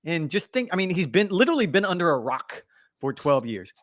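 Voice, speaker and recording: A man co-hosting a golf podcast, teasing his partner and talking through his points with animated banter. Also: fairly high pitch, a little fast, no room sound, studio quality. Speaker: podcast co-host. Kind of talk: casual sports podcast chat.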